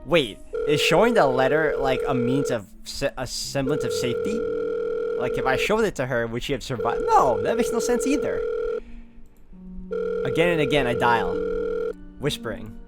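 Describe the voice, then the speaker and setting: A man's voice: medium pitch, sing-song, moderate pace. A man hosting a game walkthrough, reading a visual novel aloud expressively and adding his own commentary. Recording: loud music over voice.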